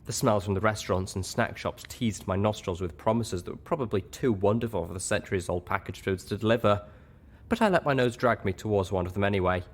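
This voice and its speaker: A man narrating a first-person story in an expressive audiobook reading style. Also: on the low side, quick pace.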